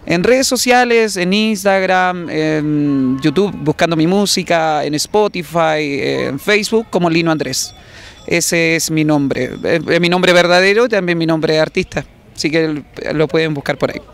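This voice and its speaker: A man's voice: fairly high pitch, measured pace, clean tone. A young man with a Chilean accent, a singer answering casually in a promotional interview outdoors into a handheld microphone. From southern Chile. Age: young adult. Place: outdoors in an open public plaza. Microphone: handheld reporter microphone held by interviewer.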